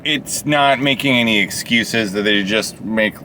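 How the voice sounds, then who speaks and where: A man's voice: fairly low pitch, a little slow, low-quality recording. A man co-hosting a casual, unscripted movie review, rambling along and swearing now and then. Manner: rambling, profane. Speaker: co-host of an amateur movie review. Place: inside a car. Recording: steady background noise, vehicle ambience.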